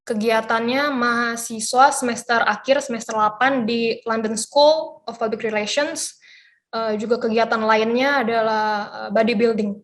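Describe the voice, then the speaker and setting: Woman in her twenties with a slightly high voice, giving a hesitant self-introduction. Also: steady tone, normal speed. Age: 20s. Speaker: model applicant. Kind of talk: online interview self-introduction.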